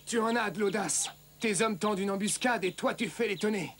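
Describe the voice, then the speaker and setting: An actor playing a brave, earnest young Saxon knight with a dramatic delivery in a French cartoon dub. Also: male voice, high-pitched, brisk, fairly bright tone, slight background noise. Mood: indignant.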